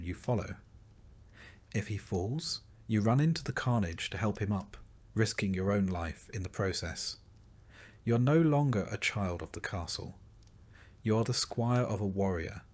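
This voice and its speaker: A man reading scripted narration in a measured, dryly wry storytelling manner. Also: lowish voice, darkish tone. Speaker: narrator of a history video. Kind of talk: scripted second-person narration.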